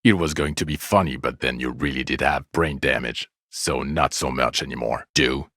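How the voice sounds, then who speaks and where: A male actor playing a bitter, exasperated, foul-mouthed police detective in a video game, scolding with weary sarcasm and contempt in a gravelly voice. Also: extremely low pitch, normal speed, a bit bright, dry recording, studio quality. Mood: bitter, sardonic. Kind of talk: video game character dialogue.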